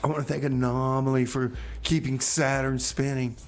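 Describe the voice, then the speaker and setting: A man's voice: medium pitch, flat delivery, normal speed, a little hiss or hum. A man hosting a radio music show in a laid-back, rambling way, often repeating himself. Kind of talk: casual radio chat. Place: radio studio.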